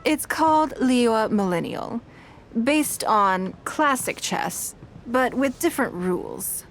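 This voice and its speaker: A woman voicing an elegant, shrewd and courteous businesswoman in scripted video game dialogue, speaking in a poised, measured and articulate way. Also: a little high, expressive, slight background noise. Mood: curious, inviting. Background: background music.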